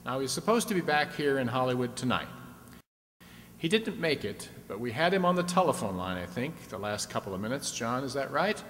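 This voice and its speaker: A genial quiz host from an old-time radio show , acted by a man, talking in a mid-pitched voice. He explains and prompts in a chatty way, heard in a reverberant hall with a little noise behind him. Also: big pitch swings, a little fast, fairly bright tone. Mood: announcing. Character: genial, chatty male host of a vintage audience-stunt show. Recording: echoey hall with background noise.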